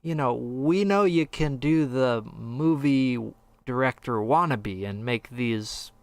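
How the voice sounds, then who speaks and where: A man's voice: mid-range voice, expressive, takes it slowly, no room sound. A man co-hosting a casual, opinionated gaming discussion, rambling and a little hesitant with half-finished thoughts. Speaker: podcast co-host.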